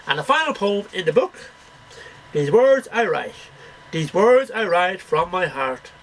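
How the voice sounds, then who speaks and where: A man's voice: sits high, big pitch swings, unhurried, slight background noise, poor audio. A middle-aged man reading poems aloud in a declamatory, sing-song way, one line at a time. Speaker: amateur poetry reader. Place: small cluttered bedroom. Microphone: webcam at about arm's length.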